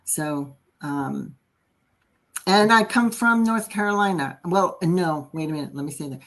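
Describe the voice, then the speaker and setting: A woman's voice: fairly low pitch, unhurried. A woman with a New Jersey accent, an astrologer chatting on a video call, hesitant and full of fillers. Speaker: astrologer guest. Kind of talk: casual chat.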